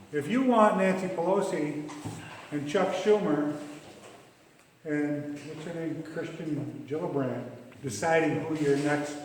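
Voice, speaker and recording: A man's voice: fairly high pitch, slight background noise. A man giving an emphatic, insistent political talk at a club meeting, often repeating his points. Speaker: campaign speaker. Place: meeting room. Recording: echoey hall from far off.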